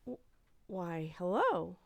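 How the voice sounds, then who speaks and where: A woman's voice: on the low side, very animated, takes it slowly, gravelly, darkish tone, full character voice. A woman acting a polite, proper, easily flustered young daughter in a radio comedy.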